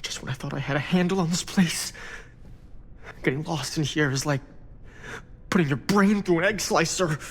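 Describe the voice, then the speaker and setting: An actor voicing a wisecracking young slacker in a cinematic horror game, talking in loose, slangy, profane jokes. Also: male voice, a little high, moderate pace, long pauses. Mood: wry.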